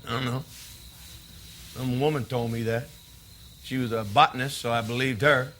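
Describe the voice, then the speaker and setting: An actor playing a volatile, resentful drifter brother in a stage drama, with an American accent and a gruff, needling, profane manner. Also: male voice, medium pitch, steady tone, moderate pace, fairly bright tone, lightly acted. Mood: idle, brooding. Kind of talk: naturalistic stage-play acting. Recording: noisy recording, chirping crickets behind.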